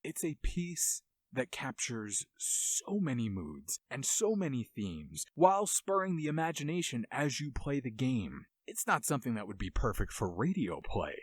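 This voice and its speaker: Expressive man narrating, with lively pitch. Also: normal speed, few pauses, slightly rough, dry recording. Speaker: host of a video game music video essay. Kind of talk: scripted video essay narration. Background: music under the voice.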